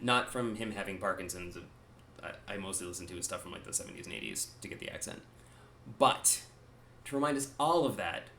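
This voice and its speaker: A man in his thirties talks straight to his viewers in a loose, rambling monologue, wry and a bit self-deprecating. His voice sits a little low, with a faint hiss of noise under it. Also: a bit bright. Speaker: gaming YouTuber.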